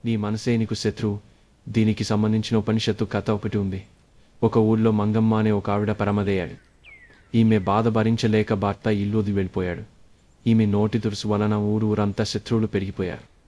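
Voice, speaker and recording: Low, even-toned, mellow man storyteller. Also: moderate pace. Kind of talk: scripted narration of a parable.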